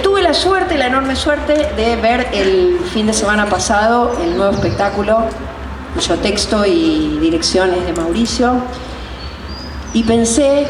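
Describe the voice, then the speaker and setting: A woman with a Rioplatense Argentine accent hosting a live public interview, speaking haltingly as she searches for words. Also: a little high. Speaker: interviewer and director of a community theatre group. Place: outdoors on a city sidewalk. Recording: noisy outdoor recording, echoey, far from mic.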